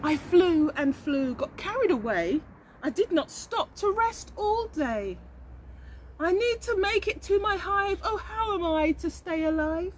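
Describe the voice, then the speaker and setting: A woman's voice: expressive, measured pace, a little hiss or hum. A woman voicing a tired, tearful little female bumblebee in a children's storybook reading. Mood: worried. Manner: breathless, tearful, high and sing-song.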